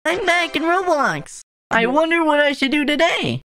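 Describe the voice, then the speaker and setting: A man's voice: very high-pitched, expressive, moderate pace, sharp tone, full character voice. A man voicing a naive, anxious cartoon cat who plays online games. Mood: upbeat, naive. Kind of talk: cartoon animation voice-over.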